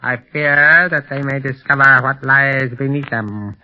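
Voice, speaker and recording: A man's voice: medium pitch, natural voice. An actor playing a menacing, loyal henchman who speaks in stilted formal sentences in a 1930s radio serial. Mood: watchful. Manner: stilted, formal, measured threats. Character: cold, menacing henchman loyal to his master. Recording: muffled 1930s radio recording.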